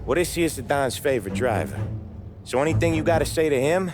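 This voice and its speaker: Actor as a steely, expressive mob lieutenant. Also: male voice, on the high side, a little fast, lightly acted. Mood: coolly warning. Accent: American. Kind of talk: dramatic game cutscene acting. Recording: music behind the dialogue.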